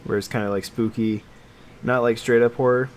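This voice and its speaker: A man co-hosting a casual podcast chat about games and films, talking in a halting, meandering way that trails off. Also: mid-range voice, steady tone, slight background noise. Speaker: podcast co-host.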